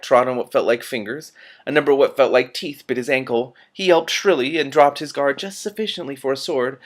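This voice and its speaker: A man gives an animated read-aloud of fiction. His voice sits at a medium pitch, and he corrects his slips as he goes, stepping out to comment. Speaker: reader and commentator.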